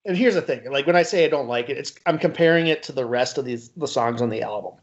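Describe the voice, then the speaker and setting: A man in his forties co-hosting a podcast, talking casually and haltingly with many "like"s and "you know"s. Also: mid-range voice, animated, highs cut off. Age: 40s. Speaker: podcast co-host.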